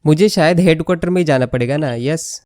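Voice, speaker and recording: A man's voice: fairly high pitch, racing, a little mellow, slight background noise. A man with an Indian accent giving chatty, casual let's play commentary, switching between Hindi and English. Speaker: gaming video host.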